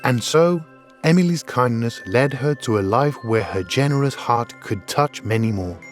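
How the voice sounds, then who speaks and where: A man's voice: medium pitch, lively intonation, moderate pace, soft background music. A man narrating a scripted fairy tale in an earnest, dramatic storytelling manner.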